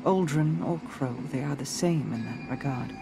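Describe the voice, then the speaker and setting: A woman playing a regal, brooding queen, speaking in a solemn, formal and measured way in a dramatic video game monologue. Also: very deep voice, vocal fry. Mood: earnest, grave. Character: regal, brooding, mysterious queen and grieving sister. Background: music.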